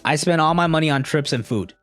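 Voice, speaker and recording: A man's voice: on the high side, fast, a bit bright, soft background music. A young man casually chatting with a live stream audience in a laid-back, slangy, rambling way. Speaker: streamer answering live chat.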